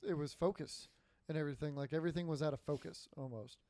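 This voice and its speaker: A man co-hosting a casual gaming podcast, rambling with lots of fillers and swearing, his voice getting hoarse and dry. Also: fairly high pitch, quick pace, warm tone, no room sound. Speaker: podcast co-host.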